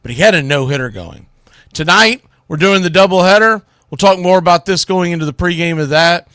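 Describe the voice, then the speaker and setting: A man with a slightly high-pitched voice hosts sports talk in a dry, close-sounding space. He gives unscripted analysis in a blunt, opinionated way, leaning on key phrases for emphasis. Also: a little fast, sharp tone, no room sound. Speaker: sports radio show host.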